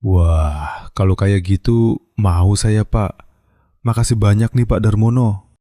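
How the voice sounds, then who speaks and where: A polite, eager satay seller. His voice sits mid-pitch with a mellow, darker tone, and the recording is close and dry. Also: steady tone, slow, no echo. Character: humble middle-aged male satay seller, polite, desperate. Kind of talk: animated cartoon voice acting.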